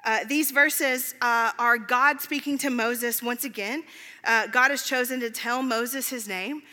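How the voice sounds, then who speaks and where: A woman's voice: a little high, steady tone, unhurried, sharp tone. A woman preaching in an informal, conversational way, with emphatic repetition. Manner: self-deprecating, informal. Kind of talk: conversational sermon. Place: large reverberant room, speaking to a congregation. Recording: long hall reverb.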